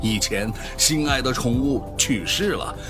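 A man's voice: medium pitch, a little slow, close to an everyday voice. A man playing a persuasive, grandiose announcer in a futuristic advertisement within a radio drama. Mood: persuasive, grand. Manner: promotional, emphatic, grandiose. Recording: music behind the announcer.

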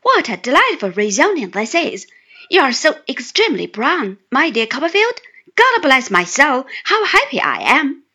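A woman's voice: sits high, big pitch swings, moderate pace, sharp tone, exaggerated voice. A woman voicing a gushing, cheerful young man. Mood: delighted. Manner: gushing, effusive exclamations. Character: cheerful excitable young man, good-natured. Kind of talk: audiobook character reading.

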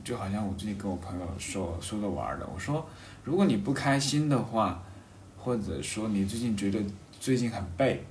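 A man with a fairly low voice talks casually and reflectively, feeling his way through each idea and often rephrasing. The room adds a clear echo, and there is faint noise behind him. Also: animated, darkish tone. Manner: halting, rephrases thoughts as he goes.

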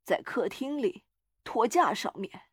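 A woman's voice: on the high side, expressive, measured pace, husky, dry recording, studio quality. A woman voicing a timid, bewildered old husband who speaks hesitantly with a stammering tremble in a storybook reading. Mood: puzzled.

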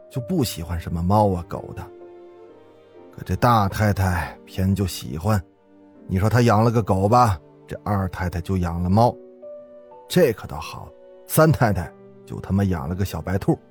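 A male storyteller plays an irritable master of a rich old household in a ghost story. The voice is low, coarse in wording and dismissive, grumbling over faint music.

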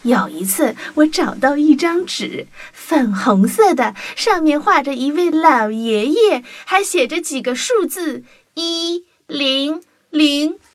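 Woman narrator for a kids' story, high, very lively, music under it. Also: slow pace, background noise. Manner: playful, animated, first-person worm diary. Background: background music.

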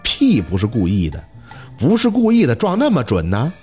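A man's voice: mid-range voice, wildly swooping pitch, normal speed, darkish tone, soft background music. Man voicing a hot-tempered, blunt schoolkid. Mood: indignant, heated. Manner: blunt, pushy, colloquial.

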